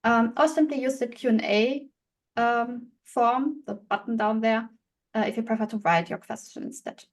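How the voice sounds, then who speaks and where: A woman's voice: on the high side, normal speed. A woman from Germany, moderating an online webinar and giving informal housekeeping instructions.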